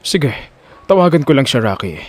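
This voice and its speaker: A man playing a wealthy elderly Don in an audio drama, measured and authoritative in his questions. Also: mid-range voice, big pitch swings. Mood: calm, curious. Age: older.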